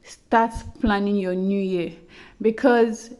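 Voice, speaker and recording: A woman's voice: medium pitch, fairly even intonation, takes it slowly, a little mellow. A young adult woman casually talking to her listeners in a personal audio journal, chatty and often repeating herself. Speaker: podcast host.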